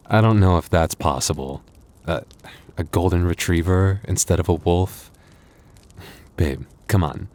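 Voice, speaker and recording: A man playing a gentle, anxious young werewolf boyfriend in an intimate audio roleplay, speaking softly and haltingly. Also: sits low. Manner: intimate, hesitant, soft-hearted, halting.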